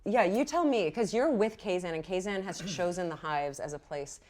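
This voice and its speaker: A woman's voice: fairly low pitch, animated, moderate pace, darkish tone. A woman game master narrating and explaining rules off the cuff in an encouraging way. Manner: encouraging, affirming.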